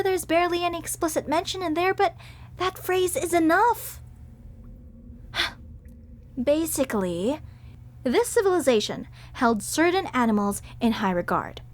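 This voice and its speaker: Bubbly high-voiced woman, slow and lively. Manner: bubbly, gentle and soothing. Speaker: voice actor in audio roleplay. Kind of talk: acted audio roleplay.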